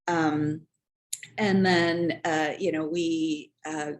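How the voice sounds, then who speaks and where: Woman, middle-aged, low voice, halting, filler-heavy, personal story. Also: fairly even intonation, a little hiss or hum. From Chicago, United States. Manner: halting, many "um" and "you know". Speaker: panelist.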